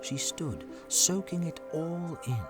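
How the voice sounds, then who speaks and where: A man's voice: a little high, slow pace. A man narrating a family audio adventure in a scripted storybook style. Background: music under the voice.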